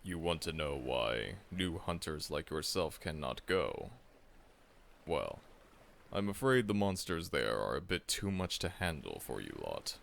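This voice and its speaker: A man's voice: a bass voice, very animated, measured pace, creaky, a bit bright, slight background noise, heavily acted. A man voicing a seasoned, confident monster hunter who acts as a friendly mentor, reassuring the listener, calling them kid and chuckling often in an ASMR audio roleplay. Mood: encouraging.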